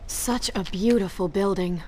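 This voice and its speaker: A woman's voice: medium pitch, a little slow, a little mellow, slight background noise, natural voice, low-quality recording. An actress playing a brave, compassionate young woman, a frank and earnest companion in a video game. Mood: curious, teasing. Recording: clear background game ambience.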